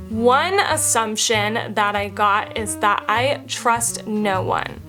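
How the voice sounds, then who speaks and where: A candid woman in her thirties talks straight to camera in a casual, chatty way, restarting her sentences as she goes. Her voice sits a little high for a woman. Faint music plays underneath. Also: a bit bright. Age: 30s. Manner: frank and chatty, with quick restarts. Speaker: vlogger answering fan assumptions.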